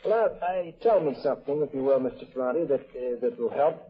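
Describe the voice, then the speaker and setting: A man with a very rough, unclear voice conducts an informal interview. He feels his way earnestly through his questions, pausing often. The sound is a thin, tinny vintage radio recording. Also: medium pitch, expressive. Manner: hesitant, searching, earnest.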